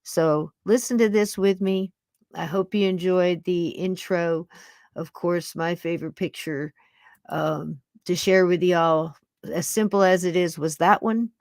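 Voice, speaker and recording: A woman in her sixties with a fairly low voice hosts a podcast. She chats in a folksy, affectionate ramble, recorded close and dry. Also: steady tone, a little slow, a little mellow, no room sound. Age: 60s. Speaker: podcast host. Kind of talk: casual chat to live listeners.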